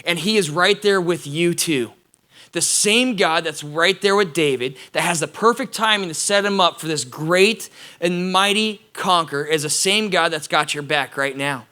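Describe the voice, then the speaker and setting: A young adult man preaching an energetic, casual sermon to students, addressing them directly. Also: a little high, moderate pace. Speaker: youth pastor.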